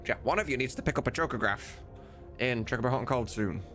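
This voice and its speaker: A man's voice: medium pitch, animated, fast, a bit bright. A man streaming as he works on a game mod, talking casually and informally, often muttering to himself. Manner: informal, sweary, muttering to himself while working. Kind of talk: casual livestream work chat. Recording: music playing behind. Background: music, computer keyboard typing.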